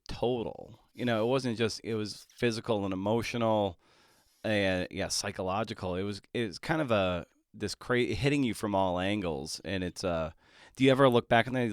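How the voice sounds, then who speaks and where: A man with a mid-to-low voice of ordinary male range, recorded close with almost no room sound. He speaks as a podcast interviewer in a casual, halting way, circling and rewording his phrases. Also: smooth, no echo. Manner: casual, halting, rephrases and piles up adjectives.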